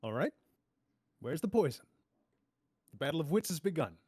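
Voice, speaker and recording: A man playing a composed, clever masked adventurer in a table read, speaking in a measured, polite, cool way. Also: on the high side, big pitch swings, a little mellow, slightly rough, no room sound, studio quality. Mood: calm, confident. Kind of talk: theatrical table-read performance.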